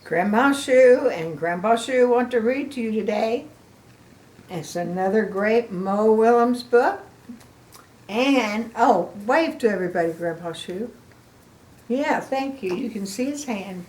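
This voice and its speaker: A woman's voice: fairly high pitch, expressive, unhurried, a little mellow. An older woman doing a children's read-aloud as a grandmother, with exaggerated emphasis and asides addressed straight to the listeners. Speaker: grandmother storybook reader. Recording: echoey room.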